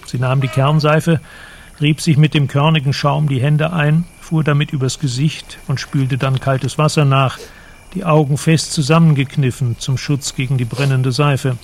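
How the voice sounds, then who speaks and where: A man giving measured, precise literary narration for a radio drama, with a creaky voice. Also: on the high side, slight background noise.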